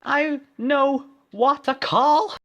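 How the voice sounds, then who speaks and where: A man voicing an excitable young female student scientist in a parody story, melodramatic and exclaiming in a strained high voice. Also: drawn-out words, highs cut off, full character voice. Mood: frantic, shouting. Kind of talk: parody fan fiction character voice.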